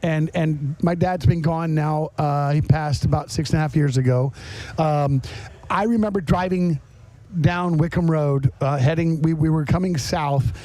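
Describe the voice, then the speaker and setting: Middle-aged man, American accent, slightly high voice, dry close-miked sound, anecdotal, emphatic, casual podcast host. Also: measured pace, warm tone, no echo. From Florida, US. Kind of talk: casual chat interview. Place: on location at a garage.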